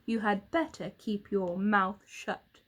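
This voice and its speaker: A woman's voice: mid-range voice, slow pace. A woman voicing a cruel male hunter in a children's storybook, menacing, taunting and crowing. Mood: cold threatening.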